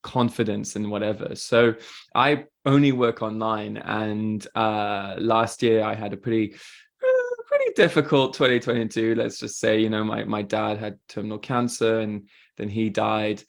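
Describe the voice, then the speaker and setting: British guy chatting on a podcast, lowish voice, laughs easy. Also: expressive, moderate pace, gravelly. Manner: chatty, open, laughs easily. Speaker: guest, spiritual mentor. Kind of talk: casual interview chat.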